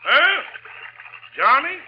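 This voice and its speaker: An actor playing an excitable, friendly boathouse man in an old American radio drama, exclaiming in a folksy way. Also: extremely high pitch, extremely slow, exaggerated voice. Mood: excited, surprised. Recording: dull vintage radio recording.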